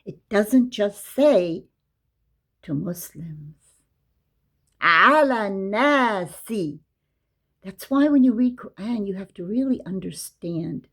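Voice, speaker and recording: A woman's voice: mid-range voice, animated, slow, long pauses, a bit bright, husky. A woman giving a casual religious lesson, explaining verses and reciting Arabic. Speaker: Quran teacher.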